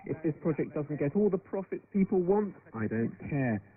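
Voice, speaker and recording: A man's voice: a little high, very animated, moderate pace, phone-quality sound. A man speaking as an interviewee in a news report, heard over thin shortwave radio. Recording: thin shortwave radio, busy crowd behind.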